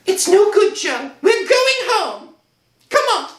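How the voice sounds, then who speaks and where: A woman's voice: extremely high pitch, very animated, slow pace, heavily acted. A woman playing a nervous, insistent young wife in an old-time radio drama, pleading and evasive. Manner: pleading, brushing things off. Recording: echoey room sound.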